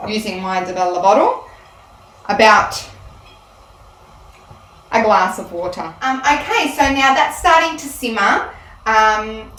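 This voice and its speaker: Chatty woman in a roomy kitchen. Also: a little high. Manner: chatty, explains step by step with frequent ums. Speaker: Italian teacher. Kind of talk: casual cooking demonstration. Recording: roomy sound, mic at a distance, faint room noise.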